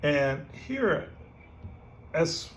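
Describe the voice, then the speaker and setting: A man's voice: on the high side, sing-song, very slow. A man from Ukraine, teaching a casual guitar lesson and often checking in with "right?" between filler words. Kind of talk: casual music lesson with playing. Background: guitar playing.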